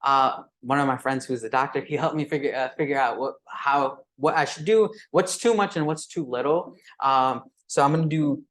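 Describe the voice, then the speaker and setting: A man in his twenties, a native Urdu speaker, walks an audience through a live software demo. He talks at a middling pitch, stops and restarts often, fills gaps with "uh", and keeps checking in with "right?". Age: 20s. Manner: halting, many fillers and restarts, frequent "right?". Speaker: teacher presenting at a meetup.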